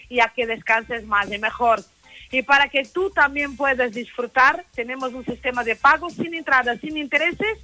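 Portuguese lady selling on a radio show, high bright voice, music faint behind. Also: rough recording. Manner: upbeat sales pitch, clear and persuasive. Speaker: commercial director, radio guest. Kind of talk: promotional radio call-in.

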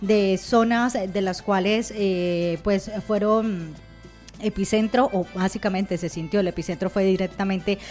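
A Colombian woman hosting a live radio show and giving news updates in a halting, hesitant way with drawn-out pauses. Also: mid-range voice, fairly even intonation, a little mellow. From Colombia, Cali. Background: music behind the voice.

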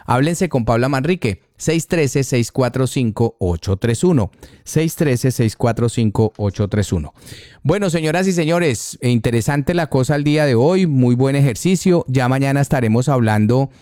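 Male radio host, mid-pitched voice, conversational and deliberate, close dry studio sound. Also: expressive, dark tone, no room sound. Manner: conversational, repeats phone numbers slowly and deliberately. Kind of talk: live radio talk with read-out sponsor spots.

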